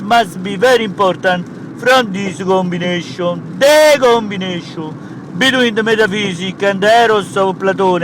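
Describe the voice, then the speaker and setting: A rambling, self-important Italian-accented professor, as a man puts him on, pitched high and declaiming loudly. He wanders from point to point in broken English. Loud street traffic runs under the voice. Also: lightly acted.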